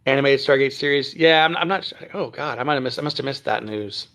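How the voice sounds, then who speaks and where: A man from Texas who speaks standard American, hosting a casual phone livestream from home and chatting through viewer comments with many ums and throat clears. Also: mid-range voice, fast, a bit bright, a little hiss or hum. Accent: none, trained standard American. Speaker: livestream host. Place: at home near Dallas.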